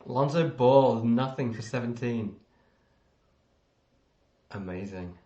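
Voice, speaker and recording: A man's voice: medium pitch, slow pace, mellow, echoey room, dull audio. A man hosting a casual sports podcast in a jokey, informal way. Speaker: podcast host.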